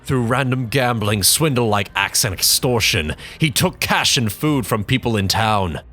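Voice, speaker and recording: A man performing a bitter young man who looks back on his mother with contempt, reading in a measured, brooding way with dramatic pauses. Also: medium pitch, animated, sharp tone, soft background music. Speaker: narrator in character.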